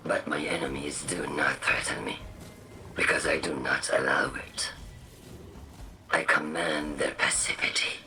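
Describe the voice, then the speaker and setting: An actor playing an imperious, menacing game villain, commanding and disdainful, drawing out the words. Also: male voice, very deep voice, creaky, bright, forceful tone, slight background noise, full character voice. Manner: commanding, disdainful, drawn-out delivery. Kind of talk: scripted game villain monologue.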